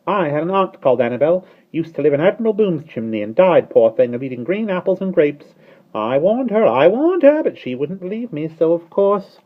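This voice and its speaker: A cheeky male starling from a storybook, harsh and croaking, pitched far above a deep voice and swooping loudly up and down. He chatters away with a haughty, wheedling edge, on a dull, lo-fi recording. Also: lively intonation, fairly quick. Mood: excited.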